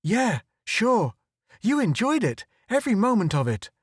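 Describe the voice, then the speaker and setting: A man's voice: sits high, no echo, clean studio sound, voice pushed up, exaggerated voice. A man doing a jittery little wisecracker. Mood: wry. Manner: quick, excitable, wry. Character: small nervous wisecracking photographer, man.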